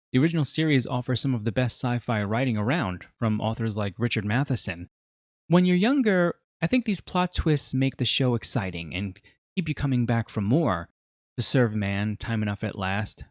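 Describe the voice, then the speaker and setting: A man's voice: mid-range voice, dry recording, clean studio sound. A man in his forties narrating a scripted video review as its host, explaining in an earnest, emphatic way. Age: 40s.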